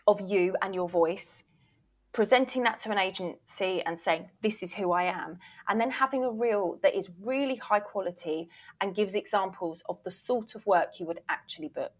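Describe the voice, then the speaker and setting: A woman's voice: mid-range voice, animated, normal speed. A woman speaking as an expert interview guest, giving conversational advice emphatically and informally, sometimes mildly sweary and slipping into imagined quotes. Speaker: guest, voiceover reel producer and former agent.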